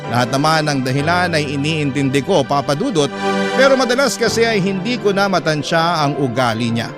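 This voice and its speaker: A man's voice: on the high side, sing-song, fairly quick. A man with a Filipino accent reading a first-person letter as an emotional story narration. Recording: loud guitar music behind voice. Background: guitar music.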